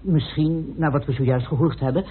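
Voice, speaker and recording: An actor playing a methodical researcher explaining evidence precisely in a Dutch radio play. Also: male voice, fairly high pitch, fairly even intonation, a little fast, a little hiss or hum, lightly acted. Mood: methodical, earnest. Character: Peacock, methodical, meticulous male researcher laying out evidence. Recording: muffled, band-limited old radio recording.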